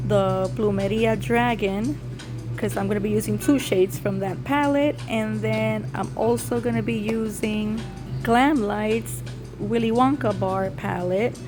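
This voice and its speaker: A woman from Puerto Rico talks through her makeup tutorial, explaining each step as she applies it. Her voice is hoarse and raspy from a recent illness, and music plays clearly in the background. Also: medium pitch. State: hoarse, recovering from lost voice. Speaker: beauty content creator. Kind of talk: casual makeup tutorial vlog. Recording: music playing behind.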